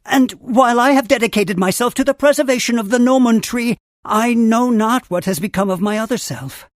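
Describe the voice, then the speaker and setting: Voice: medium pitch, a little fast, no echo, clean studio sound, lightly acted. An actor voicing a wise, earnest male creature mentor in a video game, with a formal, theatrical storytelling delivery. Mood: solemn, regretful. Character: wise male Funkey mentor, grand, earnest tree guardian. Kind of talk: scripted video game character dialogue.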